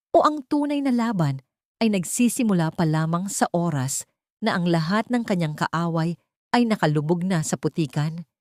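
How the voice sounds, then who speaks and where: A woman's voice: sits low, darkish tone, no room sound, studio quality. A woman narrating a scripted romantic drama as a storyteller, in a dramatic style.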